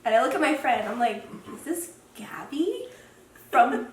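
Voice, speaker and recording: Casting: bubbly twenties woman, podcast host, high-ish voice, recorded in an echoey room off-mic. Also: unhurried. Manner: bubbly, laughs often, animated storytelling. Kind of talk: casual interview chat. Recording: roomy echo, mic at a distance, faint noise.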